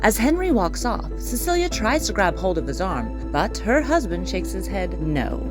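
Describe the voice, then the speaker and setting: A woman narrator tells a period tale in a scripted, dramatic style. Her pitch is middling but rises and falls expressively, with faint music underneath. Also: very animated, normal speed.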